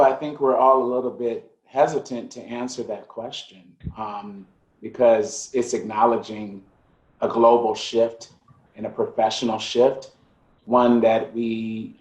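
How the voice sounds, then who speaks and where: A man speaking as a curator on a video-call panel, reflective and measured as he builds his points step by step. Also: medium pitch, fairly even intonation, darkish tone. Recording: echoey room on a video call.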